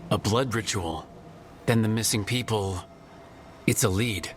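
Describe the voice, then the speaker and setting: A man playing a steady, encouraging adventurer in a video game, speaking plainly. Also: on the low side, measured pace, background noise, lightly acted. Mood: concerned, questioning. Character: steady, plain-spoken male adventurer leading companions.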